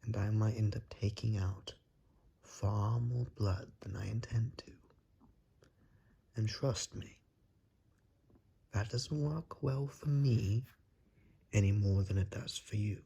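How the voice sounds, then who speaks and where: Man as a husky, whispering, suave vampire. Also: lowish voice, warm tone, exaggerated voice. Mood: coaxing, patient. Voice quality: breathy, husky, half-whispered. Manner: courtly, drawn-out, seductive menace. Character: suave, predatory vampire, coaxing and darkly polite. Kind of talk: ASMR roleplay, slow and whispery.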